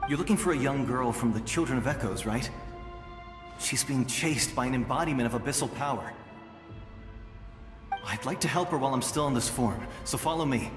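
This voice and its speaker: An actor playing a gentle, regretful male ghost in a video game, an earnest and protective guide. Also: medium pitch, brisk, long pauses, natural voice. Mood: urgent, guiding. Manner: earnest, reassuring. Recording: echoing reverberant effect, music behind.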